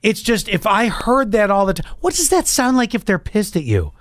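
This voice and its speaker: A middle-aged man co-hosting a podcast over a video call, teasing and laughing often, talking into a boom-arm studio mic. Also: big pitch swings, moderate pace, no echo. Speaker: podcast co-host. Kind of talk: casual chat. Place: home room by a window.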